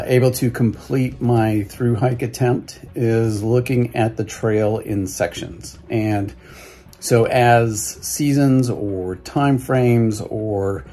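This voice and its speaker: Older man, sixties, lowish voice, halting casual talk, filler words, close phone mic at home, faint music behind. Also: mellow. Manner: halting, with many fillers and restarts. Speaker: hiking vlogger. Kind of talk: casual update to viewers. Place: living room at home, indoors. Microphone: phone or webcam at close range.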